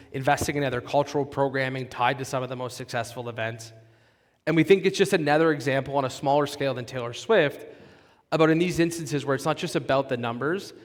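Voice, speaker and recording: A man giving a polished conference talk, explaining case studies and then moderating an onstage conversation. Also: mid-range voice. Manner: polished, explanatory, with occasional restarts. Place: large conference hall. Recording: long reverb of a conference hall.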